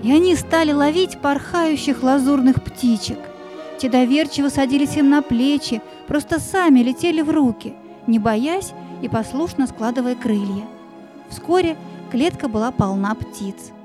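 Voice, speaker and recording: Mellow, high-voiced woman narrating a fairy tale. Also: normal speed, soft background music. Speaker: narrator of an audio play.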